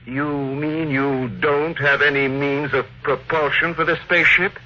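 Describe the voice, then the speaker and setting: An actor playing a wry, incredulous reporter with a dry way of questioning in an old-time radio drama. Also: male voice, on the high side, fairly even intonation, a little slow, natural voice. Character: skeptical, wry male reporter questioning a tycoon. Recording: noisy, dull old radio recording.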